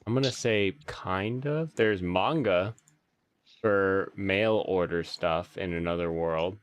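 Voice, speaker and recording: A man chatting as a guest on an online show, riffing quick jokes and laughing. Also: low-pitched. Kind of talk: casual chat.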